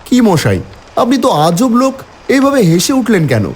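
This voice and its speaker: Animated male horror narrator, mellow tone. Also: high-pitched, moderate pace, a little hiss or hum. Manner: theatrical, exclamatory in dialogue. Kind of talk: dramatised first-person horror storytelling for a cartoon.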